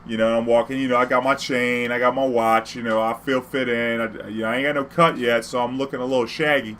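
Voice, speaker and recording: A man's voice: mid-range voice, steady tone, fast, a bit bright, slight background noise. A man from New Orleans with an American accent, telling personal stories casually in profane street slang, acting out the dialogue.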